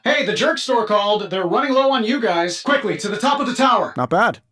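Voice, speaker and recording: Casting: cocky genius superhero for a game, lighter higher pitch, glib sarcasm, very lively inflection. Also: male voice, fairly quick, soft background music, heavily acted. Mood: punchy, cocky. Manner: glib, sarcastic, self-assured quipping. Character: cocky, wisecracking billionaire inventor superhero in powered armor. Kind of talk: video game voice acting.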